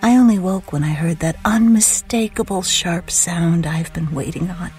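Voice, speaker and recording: A woman playing a sinister, patient witch in a dark horror tale, speaking deliberately and dropping into hushed whispers. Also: on the low side, over music, lightly acted. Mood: ominous, brooding. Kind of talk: dramatic first-person horror storytelling.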